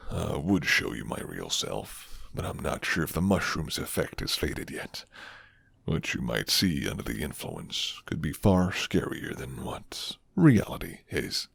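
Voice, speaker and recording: A man playing a flirty, gentle, rugged werewolf who lives alone in the forest, speaking slowly and hushed in a deep, gravelly ASMR roleplay voice. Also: heavily acted. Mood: grave, calm. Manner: slow, hushed, teasing.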